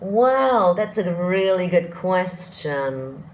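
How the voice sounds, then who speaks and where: Deep-voiced female vocal coach, relaxed live Q&A delivery, low-ish register. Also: very slow, slight background noise, poor audio. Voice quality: deep speaking voice. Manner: demonstrates vocal exercises, reads out viewer questions. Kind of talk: live Q&A singing lesson.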